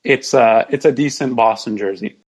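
A man's voice: mid-range voice, moderate pace, slightly rough. A man as a podcast guest, mildly explaining his opinions in casual sports discussion.

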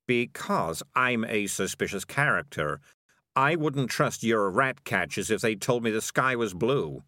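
A man's voice: fairly low pitch, animated, a little slow, bright, forceful tone, dry recording. A man doing a sardonic, suspicious cat. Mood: dry. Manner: dry, drawling, sarcastic.

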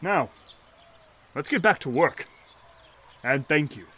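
An actor playing an earnest, pompous, kindly shantytown mayor with formal, florid speech in a 1930s audio drama. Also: male voice, on the high side, animated, slow pace, heavy background noise. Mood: rousing, solemn.

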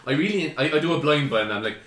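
A man's voice: fairly high pitch, expressive, rapid-fire, a bit bright, slight background noise. A young man hosting a podcast, rambling and swearing in casual chat. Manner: swearing, rambling, laughing often. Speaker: podcast host. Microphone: podcast mic. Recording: echoey room.

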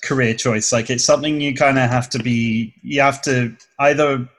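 Young man, southern english accent, comedy creator, chatty, long explanations, mid-pitched. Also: fairly even intonation, normal speed, a bit bright. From southern England. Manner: chatty, explaining at length with restarts. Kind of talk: casual interview chat.

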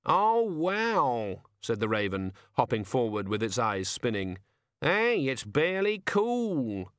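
A man's voice: on the low side, lively intonation, a little slow, fairly bright tone, no echo, studio quality. A man voicing a grumbling, self-pitying talking raven in a storybook reading. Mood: amazed. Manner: whining, gloomy complaining.

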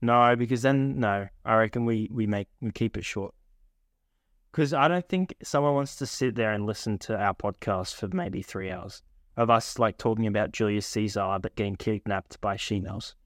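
A man's voice: fairly low pitch, normal speed, dry recording, studio quality. A man with an Australian accent co-hosting a casual podcast in a laid-back, joking way. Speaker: podcast co-host. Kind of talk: casual chat.

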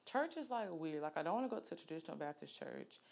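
A woman's voice: deep voice, lively intonation, fast talker, creaky. A woman, hosting a podcast in a candid, chatty way with lots of fillers. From Alabama, US. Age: late 20s. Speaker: podcast host. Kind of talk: casual solo podcast chat.

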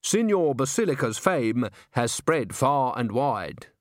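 Man doing a prim, thin opera manager, pitched up. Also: sing-song, unhurried, no echo, clean studio sound. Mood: prim, condescending.

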